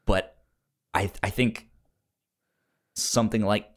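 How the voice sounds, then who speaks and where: A man co-hosting a casual film debate, stuttering on syllables and words as he talks in an animated way. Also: fairly low pitch, slow pace. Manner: animated, acts out mock quotes. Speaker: podcast co-host debating films.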